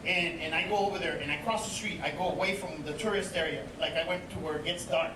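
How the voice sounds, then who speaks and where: A man's voice: fairly high pitch, quick pace. A man from East Los Angeles performing a stand-up set, chatty and full of restarts and crowd asides. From East Los Angeles, USA. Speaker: stand-up comedian. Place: comedy stage on a cruise ship. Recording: echoey live venue from far off. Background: loud audience laughter and noise.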